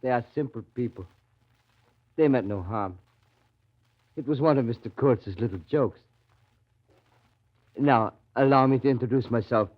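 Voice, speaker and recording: Actor as husky, awestruck ragged jungle wanderer. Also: male voice, mid-range voice, natural voice. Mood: reverent. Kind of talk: 1930s radio drama acting. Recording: muffled 1930s radio recording.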